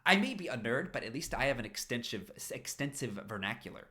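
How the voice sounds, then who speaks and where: A man voicing a nervous, nerdy, soft-hearted young man who speaks hesitantly and stammers in a story reading. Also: mid-range voice, very animated, a little fast. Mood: playful defiance.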